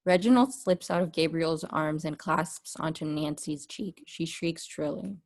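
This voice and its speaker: A woman's voice: low-pitched, unhurried, darkish tone. A woman narrating a script's stage directions at a table read.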